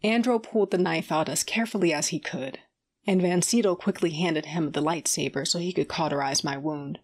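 A tough, stubborn female gunslinger tells her own story in a sci-fi audio drama. Her voice sits a little low, and she is wry and plain-spoken about being wounded. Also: a little fast. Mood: strained, wry. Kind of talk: first-person audio drama narration.

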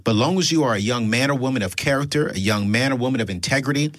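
A man's voice: mid-range voice, quick pace, dry recording, studio quality. A man from South Carolina, hosting a casual podcast conversation and cheering his guest on. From Darlington, South Carolina. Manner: animated, encouraging interjections. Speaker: podcast host.

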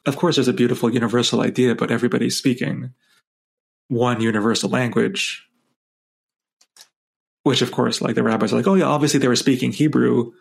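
Man, husky voice, gravelly, flat delivery, reflective podcast co-host. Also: medium pitch, fairly quick, long pauses. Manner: reflective, speaking in loose run-on phrases with frequent "like". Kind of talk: casual discussion of the weekly Torah portion.

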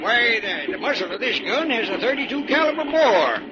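An actor playing a gruff, skeptical small-town sheriff in an old-time radio adventure. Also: male voice, very high-pitched, very animated, moderate pace, crowd noise. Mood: doubtful, baffled. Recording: muffled, very noisy old radio recording.

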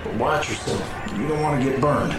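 An actor playing a smooth, cocky, foul-mouthed villain in an audio drama thriller, knowing, teasing and self-assured. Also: male voice, medium pitch, lively intonation, fairly quick, natural voice, rough recording. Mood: sly, advising. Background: music.

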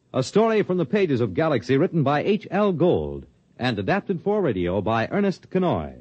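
A man's voice: mid-range voice, warm tone, dry recording. A male announcer reading the closing credits in a formal, emphatic radio announcing style. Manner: crisp, emphatic announcer delivery. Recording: dull 1950s radio broadcast.

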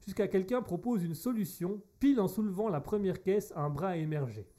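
A man's voice: sits high, normal speed, mellow. A man co-hosting a humorous radio show, telling a story and trading jokes in playful banter. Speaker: radio co-host.

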